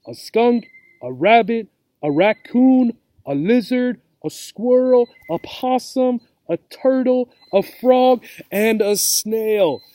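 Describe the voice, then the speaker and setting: A man reading a children's storybook aloud as a teacher would, with simple, deliberate phrasing and asides to young listeners. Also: very high voice, mellow, no room sound.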